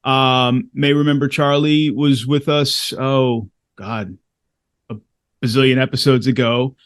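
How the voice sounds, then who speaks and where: A man's voice: medium pitch, steady tone, unhurried, clear, resonant tone, dry recording, clean studio sound. A man hosting a tabletop gaming podcast, introducing a guest in a friendly, conversational way. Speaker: podcast host.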